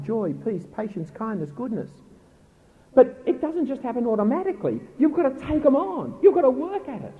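Loud-voiced preacher on a tinny recording. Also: male voice, high voice. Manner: earnest, emphatic, rhetorical questions. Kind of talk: sermon. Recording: tinny phone-like recording with faint noise.

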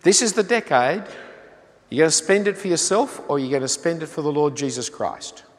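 An older man preaches in a voice pitched a little high, earnest and pressing. He keeps asking his listeners rhetorical questions. His words ring out with the long reverb of a large hall. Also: animated, normal speed. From Australia. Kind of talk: sermon.

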